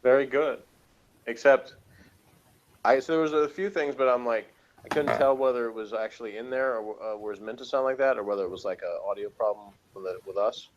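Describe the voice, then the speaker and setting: A man's voice: medium pitch, fairly quick. Dull lo-fi recording of a flippant man chatting. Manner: slangy, flippant, hesitant. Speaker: guest co-reviewer. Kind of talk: casual joking chat.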